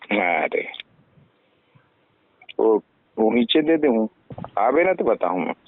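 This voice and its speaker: A man's voice: on the low side, very animated, takes it slowly, creaky, no echo. A man with a Chhattisgarhi accent in a private recorded phone conversation, speaking in terse, informal phrases. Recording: tinny, dry recorded phone call.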